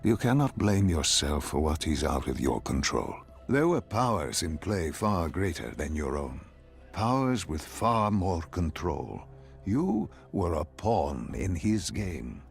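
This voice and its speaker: A man playing a calm, commanding, enigmatic interrogator in a video game, guiding someone through memories with deliberate, controlling delivery. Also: low-pitched, gravelly, full character voice. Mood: probing, reassuring. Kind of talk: dramatic game cutscene dialogue. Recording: music under the voice. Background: music.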